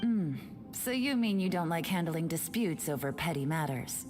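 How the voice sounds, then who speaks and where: A woman acts out a cool, skeptical woman from a video game. Her rather low voice is dry and blunt. Music is clearly heard behind it. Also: lively intonation, normal speed, close to an everyday voice. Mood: skeptical, dismissive. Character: cool, skeptical, self-assured adult woman.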